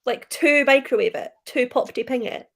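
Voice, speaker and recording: A woman chatting on a video call, excitable and quick to exclaim. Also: high-pitched. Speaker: co-host. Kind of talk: casual chat on a live stream.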